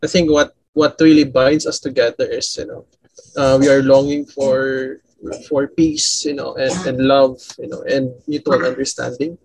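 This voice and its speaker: A man with a Filipino accent answering as an interview guest, conversational and halting, circling back over his phrases with frequent fillers. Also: mid-range voice, level tone, creaky, a little mellow, husky, no room sound, rough recording. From Philippines. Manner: halting, repetitive, frequent "you know" filler.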